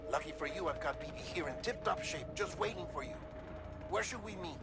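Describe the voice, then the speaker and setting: An actor playing an anxious, lonely middle-aged scientist in a cinematic video game, with a nervous, hesitant, emotionally exposed delivery. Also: male voice, fairly high pitch, expressive, brisk, loud music behind the voice. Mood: hopeful.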